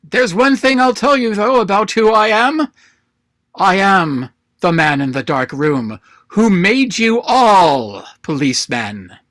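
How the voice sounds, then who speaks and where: A man's voice: high-pitched, animated, slow pace, a bit bright, dry recording, heavily acted. A man playing a huge, commanding, mysterious mastermind with portentous delivery in an amateur radio play. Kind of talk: dramatic acting in an amateur radio play reading. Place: remote reading over a video call.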